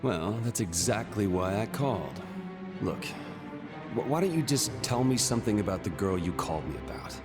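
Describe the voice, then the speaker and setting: A man's voice: lowish voice, expressive, normal speed, natural voice. An actor playing a flustered, awkward young man, the game's hero, who stammers often. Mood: defensive. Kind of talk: video game cutscene voice acting. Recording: game audio with background music.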